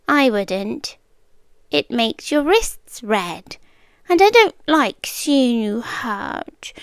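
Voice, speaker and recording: High, sweetly menacing British yandere girlfriend, acted by a woman. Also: no echo, clean studio sound, exaggerated voice. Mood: smug, doting menace. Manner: coy, doting, unsettlingly calm, breathy whispering. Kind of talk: ASMR roleplay, intimate soft-spoken acting. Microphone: close microphone, dry.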